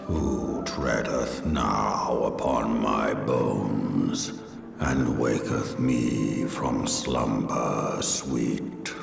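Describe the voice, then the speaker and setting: Ancient dragon, abyssally deep and gravelly. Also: male voice, full character voice. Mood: menacing, vengeful. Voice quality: gravelly, booming. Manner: archaic, slow, imperious speech. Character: ancient, colossal male dragon, menacing, proud and solemn. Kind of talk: theatrical video game voice acting. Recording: cavernous echo, distant, loud music.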